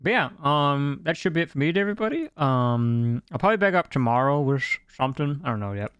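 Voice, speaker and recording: Man, game master, animated, chatty, laughs a lot, dry sound. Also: a little high, quick pace, clear, resonant tone, no echo. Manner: animated, chatty, laughs often, repeats words. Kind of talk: casual chat wrapping up a tabletop stream.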